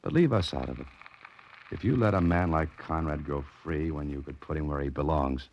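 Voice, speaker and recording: A man's voice: very deep voice, fairly quick. An American man playing a tough, wry adventurer-sleuth in a 1940s radio suspense drama, with a clipped, insistent delivery. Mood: earnest persuasion. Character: tough, wry male adventurer-sleuth, narrating and pressing for answers. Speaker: lead actor and narrator. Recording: noisy, muffled vintage 1940s radio recording.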